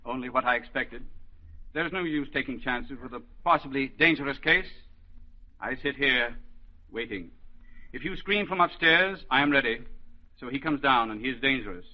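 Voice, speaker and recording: A man's voice: medium pitch, moderate pace, close to an everyday voice. An actor playing a shrewd, scolding elderly psychiatry professor in a 1940s radio drama, with imperious, fatherly delivery. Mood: grave, explaining. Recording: dull, lo-fi 1940s radio broadcast.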